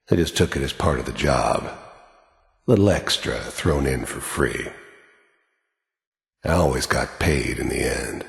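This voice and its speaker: Very deep, gravelly, weary hired killer. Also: male voice, heavily acted. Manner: world-weary, terse, brooding, plain-spoken with tough-guy drawl. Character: weary, hardened middle-aged mercenary killer, bitter and cynical. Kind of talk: video game character monologue. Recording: echoey with long reverb tail.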